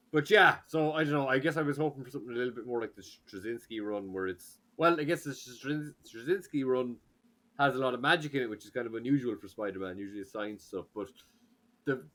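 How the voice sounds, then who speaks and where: A man's voice: medium pitch, lively intonation, brisk, fairly bright tone. A man with an Irish accent, chatting loosely on a podcast with lots of fillers and restarts. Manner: rambling, hesitant, with many fillers. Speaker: podcast co-host. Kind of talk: casual chat.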